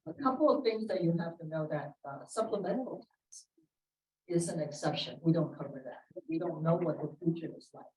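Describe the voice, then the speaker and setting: A woman's voice: low-pitched, a little fast, darkish tone. A woman answering questions on a panel as a title officer, direct and emphatic. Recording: echoey room, distant mic.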